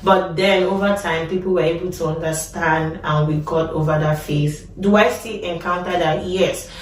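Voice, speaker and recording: A woman's voice: fairly low pitch, steady tone, normal speed, a little hiss or hum. Echoey Nigerian woman giving advice. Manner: conversational, repeats words for emphasis. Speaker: nurse. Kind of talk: casual advice vlog. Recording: echoey room, distant from mic.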